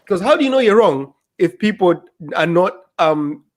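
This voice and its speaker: Guy on a podcast, high voice that jumps all over, loose and chatty. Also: moderate pace. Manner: animated, mimics crowd reactions, laughs while talking. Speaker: podcast co-host. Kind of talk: casual chat.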